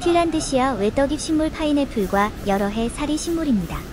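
A woman narrating a travel vlog in a calm, explanatory way, describing the sights as she walks. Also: sits high, fairly quick, noisy background. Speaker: travel vlogger.

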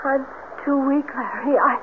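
An actress playing a weak, feverish, clinging young woman in a 1970s radio drama, halting and pleading. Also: high voice, close to an everyday voice. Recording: muffled narrowband old radio broadcast, loud noise.

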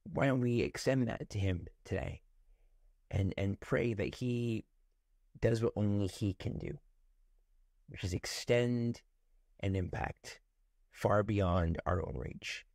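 Forties male pastor at home on webcam; gravelly, dry, gentle devotional read. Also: fairly low pitch, no room sound. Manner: gentle, unhurried, warmly reflective. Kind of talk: prayerful devotional talk. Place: home room with ceiling fan. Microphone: webcam close up, looking up from below.

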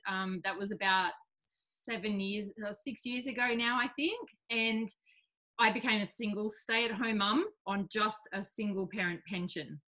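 A woman in her forties talks through a laptop webcam from a small home office. Her voice is bright and forceful but a little croaky tonight, and she tells her story in an open, chatty, self-deprecating way. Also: mid-range voice, rough recording. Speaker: guest speaker. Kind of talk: casual personal story on a video call. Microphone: laptop webcam on a video call.

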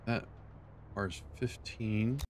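An older man streaming a strategy game from his home, talking to himself in quiet, low-key asides. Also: takes it slowly, a little hiss or hum. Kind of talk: casual let's play commentary. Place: home room, seated in a dark chair.

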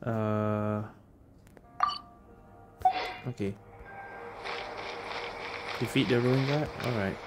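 A man's voice: fairly low pitch, fairly even intonation, drawn-out words, long pauses, very noisy. A man giving casual gameplay commentary, thinking aloud. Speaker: gamer, streamer. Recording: game music behind the voice.

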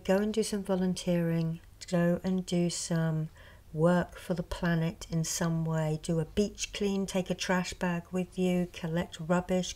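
Soft-spoken woman with a slight cold. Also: lowish voice. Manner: slow, soft, partly whispered. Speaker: reiki healer and reader. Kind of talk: soft-spoken ASMR show and tell. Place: indoors at a table. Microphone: close mic over a tabletop.

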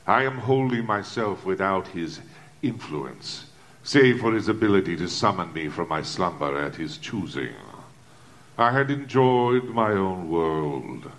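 A man playing a menacing, articulate killer alter ego with formal, deliberate, contemptuous speech in a Gothic audio drama. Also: sits low, lively intonation, fairly bright tone. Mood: cold, formal.

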